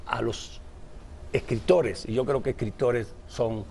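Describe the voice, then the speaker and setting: A man's voice: medium pitch, steady tone, takes it slowly, creaky, a little mellow, slight background noise. A man from Cuba, an author and journalist, answering interview questions in a reflective, discursive way.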